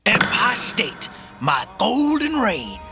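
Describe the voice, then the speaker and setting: An actor playing a menacing, theatrical crime boss with a twisted romantic streak, speaking in florid, drawn-out poetic lines in a noir radio play. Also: male voice, high-pitched, wildly swooping pitch, vocal fry, over music, exaggerated voice. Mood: domineering, boastful.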